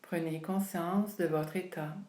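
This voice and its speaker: A woman leading a guided yoga relaxation, speaking calmly and soothingly with long pauses between phrases. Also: on the low side. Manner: calm, unhurried, soothing delivery with long pauses. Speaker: yoga nidra instructor. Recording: roomy, echoing sound.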